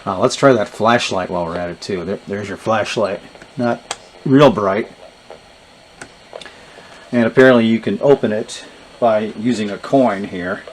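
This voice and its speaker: A man giving a casual, unscripted gadget demonstration, thinking aloud in a low-key, hesitant way. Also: unhurried, pauses often. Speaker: vintage radio reviewer demonstrating gadgets. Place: indoors in a basement. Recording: noisy recording with radio static. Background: radio static and hiss.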